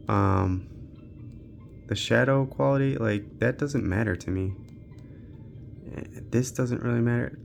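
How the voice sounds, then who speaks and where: A man's voice: lowish voice, gravelly, slight background noise. A man giving an unscripted, casual tech walkthrough, thinking aloud informally as he tests games on a handheld. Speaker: tech reviewer hosting a handheld gaming channel.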